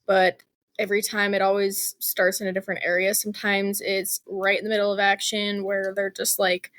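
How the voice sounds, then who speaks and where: A woman's voice: mid-range voice, monotone, moderate pace, fairly bright tone, dry recording. A woman speaking as a guest author in a casual interview, chatty and informal, with plenty of "like" and "you know".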